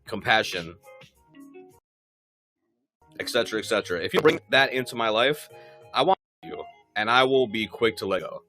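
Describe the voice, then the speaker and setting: A forty-year-old man with a fairly low voice co-hosts a casual podcast. He talks emphatically, circling back to repeat his points and swearing freely. Music plays behind him. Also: lively intonation, moderate pace, long pauses, sharp tone. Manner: emphatic, repetitive, profane. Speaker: podcast co-host. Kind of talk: casual conversational podcast chat.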